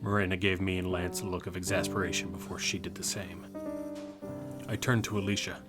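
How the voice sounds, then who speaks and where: Haunted male reaper, played by an actor, lowish voice, subdued first-person audio drama narrator, prominent music underneath. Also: little pitch movement, fairly quick, natural voice. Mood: grave narrating. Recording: music behind the voice.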